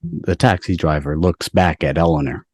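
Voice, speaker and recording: A man's voice: a bass voice, steady tone, moderate pace, darkish tone, slightly rough, dry recording, studio quality. A man reading stage directions as the narrator of a scripted table read. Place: video call from indoors.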